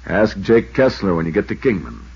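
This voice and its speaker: Brisk 1950s radio insurance boss, American, brusque, animated delivery, dull old recording. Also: male voice, fairly low pitch, slightly rough, full character voice. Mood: brisk, insistent. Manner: brusque, hurried, businesslike. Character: brisk, bossy male insurance bureau agent. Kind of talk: 1950s radio drama acting.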